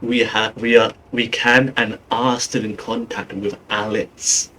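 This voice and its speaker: Slow-talking man commenting on a game. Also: lowish voice, level tone, bright, forceful tone, a little hiss or hum, poor audio. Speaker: gaming streamer.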